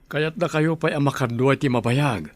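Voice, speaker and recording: A man's voice: medium pitch, normal speed, no echo, lightly acted. A man playing a kindly, earnest family man in an Ilocano radio drama, with an Ilocano accent. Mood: caring. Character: kindly family man, devoted son and father.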